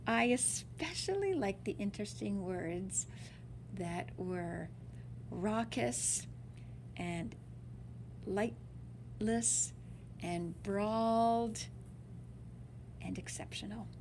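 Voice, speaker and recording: A woman's voice: mid-range voice, lively intonation, extremely slow, long pauses, darkish tone, a little hiss or hum, poor audio. A woman reading a children's picture book aloud like a teacher, drawing out words for dramatic emphasis. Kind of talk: expressive storybook read-aloud.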